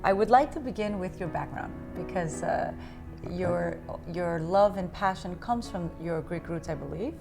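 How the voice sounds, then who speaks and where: A woman's voice: lowish voice, moderate pace, gravelly, over music. A woman hosting a TV interview at a guest's home, asking admiring, leading questions. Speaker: TV interview host.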